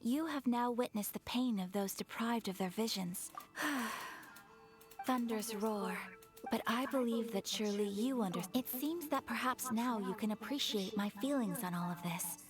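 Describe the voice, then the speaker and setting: Lady playing polite highborn young woman in a game, high voice, very formal and poised. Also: a little slow, music in the background, lightly acted. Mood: gracious, earnest. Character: refined, courteous young noblewoman of a ruling clan. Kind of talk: video game voice acting.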